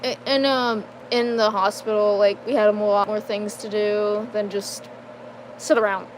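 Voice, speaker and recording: A young adult woman giving a casual personal life update, halting and full of fillers, with nervous laughs between sentences. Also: mid-range voice, flat delivery, slight background noise, poor audio. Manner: hesitant, halting, many fillers and restarts. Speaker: vlogger.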